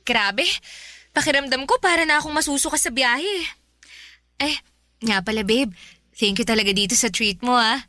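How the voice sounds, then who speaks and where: A woman's voice: a little high, moderate pace, long pauses, sharp tone, dry recording. A woman acting a bubbly, teasing, affectionate young working woman in a Filipino radio romance drama, with a Filipino accent. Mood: sweet, affectionate.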